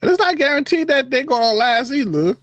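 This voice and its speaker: A man with a Haitian accent co-hosting a podcast in casual chat, animated and quick to laugh, his voice jumping high when he gets excited. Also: no echo, dull audio. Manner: lively, jumps high in pitch when excited, laughs often. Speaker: podcast co-host.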